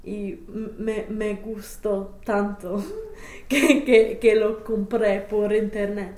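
A woman's voice: medium pitch, little pitch movement, slow, darkish tone, echoey room. A young woman, a native English speaker, answering interview questions as a language learner, hesitant with many fillers and restarts. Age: young adult.

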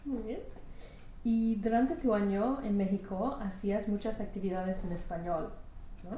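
A woman's voice: mid-range voice, fairly even intonation, measured pace, warm tone. A woman interviewer asking short questions and giving brief acknowledgments. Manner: brief prompts and backchannels. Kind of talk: research interview. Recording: echoey room, faint hiss.

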